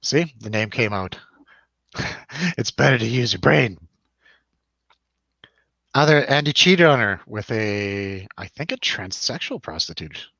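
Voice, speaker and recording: Male host, animated and rambling, jokey casual review talk, dry slightly lo-fi recording. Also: fairly low pitch, unhurried, long pauses, no room sound. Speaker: host of a film review show. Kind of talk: casual chatty film discussion over drinks.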